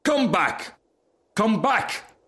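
A man voicing a haughty, self-important firework rocket in a storybook, making pompous, drawn-out pronouncements. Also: medium pitch, sing-song, fairly bright tone. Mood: indignant, calling out. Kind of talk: storybook character reading.

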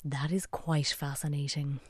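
A woman's voice: low voice, little pitch movement, unhurried, slightly rough, no room sound. A woman with an Irish accent presenting radio links in a dry, wry way.

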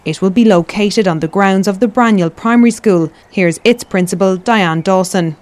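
A woman voices a scripted news report. She speaks calmly and evenly, at a middle pitch. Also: normal speed. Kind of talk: scripted news package narration.

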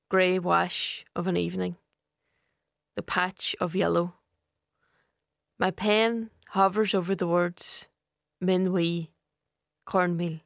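Woman, very slow measured reading, lowish voice, dry studio sound. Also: vocal fry, no echo. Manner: slow, measured, deliberate delivery. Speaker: essayist reading her own piece. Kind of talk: scripted reflective reading.

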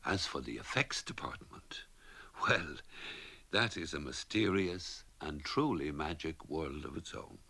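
Man, deep gravelly voice, husky, wry literary reading. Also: animated, a little slow, pushed and bright, dry recording. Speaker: essayist reading a reflection. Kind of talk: read reflective essay.

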